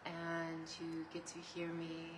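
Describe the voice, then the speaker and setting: Soft-spoken young woman, slow and flat. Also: deep voice. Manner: gentle, rambling, with drifts into soft whispers. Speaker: ASMR creator. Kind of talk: casual ASMR eating video. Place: bedroom, sitting on a bed. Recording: echoey room from far off, noisy.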